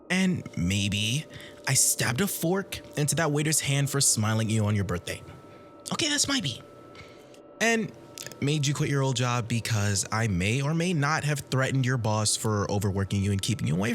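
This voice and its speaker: A performer playing an obsessive, jealous, violently devoted lover in an ASMR roleplay, theatrical and swinging between breathy whispers and manic laughter. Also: mid-range voice, fairly bright tone, slight background noise. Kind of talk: ASMR roleplay audio drama.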